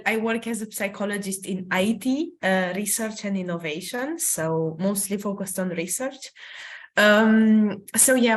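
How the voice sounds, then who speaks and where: A young woman from Romania, speaking English as a second language, giving an informal career talk over a video call with many fillers and restarts. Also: mid-range voice. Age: young adult. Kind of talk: informal presentation with slides.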